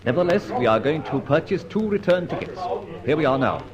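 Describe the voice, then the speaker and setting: A man with a British accent playing a cheeky, unafraid gentleman adventurer, flippant and clipped, in an old-time radio detective drama. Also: fairly high pitch, animated, a little fast, a crowd in the background, lightly acted. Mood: brisk. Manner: flippant, clipped upper-class banter. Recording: muffled old radio recording, faint noise.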